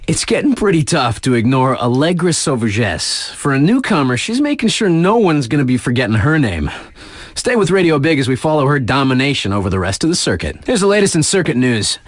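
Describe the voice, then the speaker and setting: A man playing a cool, flirty, hyped snowboarding radio DJ in a video game, with slangy, laid-back showmanship and drawn-out emphasis. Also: medium pitch, big pitch swings, no echo. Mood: upbeat, confident. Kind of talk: radio DJ competitor spotlights and sports updates.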